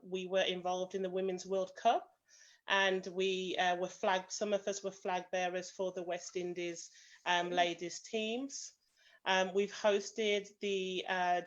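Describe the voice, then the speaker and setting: Female association president, British accent, semi-formal introduction, dull lo-fi call audio. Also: mid-range voice. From British of Jamaican family. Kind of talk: semi-formal spoken introduction on an online meeting. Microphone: video call.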